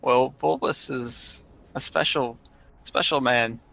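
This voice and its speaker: A man casually telling gaming stories in an animated, emphatic way, swearing freely. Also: mid-range voice, slow pace, creaky. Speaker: online gaming group member telling stories.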